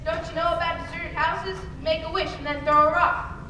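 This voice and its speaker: A woman's voice: extremely high pitch, fairly even intonation, moderate pace. A woman playing a brash, idealistic, talkative young small-town man in an old-fashioned radio play. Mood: boyish, dreamy. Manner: eager, talkative, earnest. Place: live stage performance in a large room. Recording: echoey hall, distant mic, noisy.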